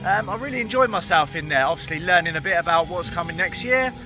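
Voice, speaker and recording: A man vlogging at a theme park in the evening, chatty and tired, his voice hoarse from a cold. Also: sits high, expressive, quick pace, over music. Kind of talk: casual vlog to camera.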